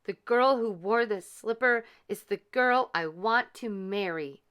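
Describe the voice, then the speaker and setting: A woman's voice: mid-range voice, a little slow, a bit bright, clean tone, pitched above the performer's own voice, close to an everyday voice. A woman voicing a courtly, smitten young storybook prince with polite earnestness. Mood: earnest, courtly.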